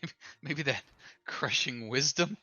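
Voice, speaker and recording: Player in an online d&d game, talks slow, bright sharp voice, dry sound, bit of music behind. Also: medium pitch, no echo. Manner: slow, joking, hesitant. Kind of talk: casual tabletop game chat.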